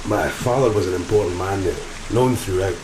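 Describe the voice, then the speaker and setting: A man's voice: deep voice, brisk, background noise, close to an everyday voice. A man playing a grim, sardonic sailor with a criminal past in a gothic horror audio play. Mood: brooding, sardonic. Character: grim, menacing male sailor, gangster's son from Moscow. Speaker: actor in an audio drama. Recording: steady rain and thunder, roomy echo.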